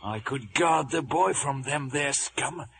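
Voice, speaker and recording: An actor playing a wily old pirate ship's cook with a drawling, rustic West Country brogue, in a theatrical film style. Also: male voice, medium pitch, slow pace, creaky, a bit bright. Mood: cajoling. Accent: West Country English pirate brogue. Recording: noisy old film soundtrack.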